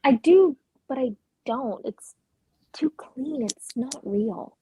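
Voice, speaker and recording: Practical young skeptic, played by a woman, gentle and understated, slightly high but husky, for a drama reading. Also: animated, slow pace, full character voice, rough recording. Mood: skeptical, wry.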